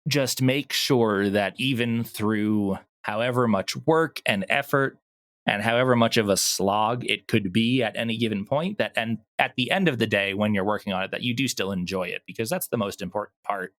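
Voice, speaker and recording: A man's voice: fairly low pitch, moderate pace, a bit bright. A man talking casually and enthusiastically as an interview guest, in informal language. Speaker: guest, audio drama producer.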